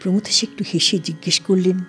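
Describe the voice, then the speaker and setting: A woman narrating a scripted literary story in a deliberate, formal storytelling manner. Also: fairly low pitch, fairly even intonation. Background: soft plucked-string music.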